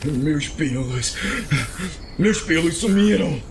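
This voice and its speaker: An actor playing a young paladin turned horned tiefling, distraught, pleading and halting, in an audio drama. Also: male voice, fairly high pitch, lively intonation, creaky, a little hiss or hum, heavily acted. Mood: shocked, disgusted. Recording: loud music behind the voice.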